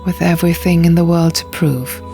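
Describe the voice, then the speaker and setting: A woman's voice: lowish voice, steady tone, fairly quick, gravelly, warm tone. A woman reading an audiobook as scripted, dramatic narration. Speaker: audiobook reader. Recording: music bed under the reading. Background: music and sound effects.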